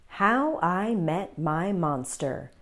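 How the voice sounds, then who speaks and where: A woman hosting a children's read-aloud, telling the story in an expressive, deliberate way for young listeners. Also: lowish voice.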